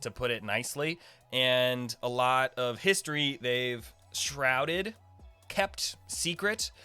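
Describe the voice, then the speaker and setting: A man's voice: medium pitch, takes it slowly, sharp tone, clear, resonant tone, soft background music. A man hosting a gaming video, giving casual, informal lore commentary in a rambling, speculative way. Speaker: gaming video creator and host.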